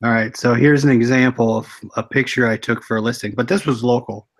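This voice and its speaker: A young man co-hosting a casual livestream chat, relaxed and matter-of-fact. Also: medium pitch, a little fast. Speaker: co-host.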